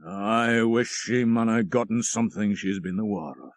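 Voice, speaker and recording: A man's voice: fairly low pitch, moderate pace, dry recording, clean studio sound, close to an everyday voice. Man acting a tremulous, grief-stunned Scottish laird. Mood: mournful, dazed.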